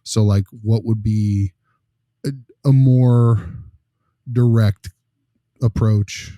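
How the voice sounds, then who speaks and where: A man's voice: on the low side, steady tone, drawn-out words, mellow, no echo, studio quality. A man hosting a casual case discussion, informal and blunt, pressing the others with questions. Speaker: discussion host. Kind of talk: casual panel discussion of clinical cases.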